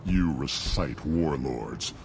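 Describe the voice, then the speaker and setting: Low-voiced, scornful alien rival. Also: male voice, slow pace, exaggerated voice. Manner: contemptuous, declaiming. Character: hostile alien clan rival, scornful traditionalist warrior. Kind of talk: video game cutscene acting. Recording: clear background noise.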